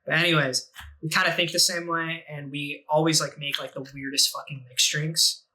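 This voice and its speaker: Young man in his twenties, very bright tone, slightly high voice, rambling casual chat, swears a lot. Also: little pitch movement, moderate pace, low-quality recording. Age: 20s. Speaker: podcast guest.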